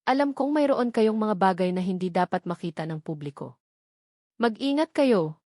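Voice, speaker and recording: A woman's voice: mid-range voice, expressive, normal speed, a little mellow, no echo, clean studio sound, close to an everyday voice. A woman narrator playing an arrogant, threatening male mayor in a dramatic story. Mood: veiled menace. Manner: smooth, threatening, boastful.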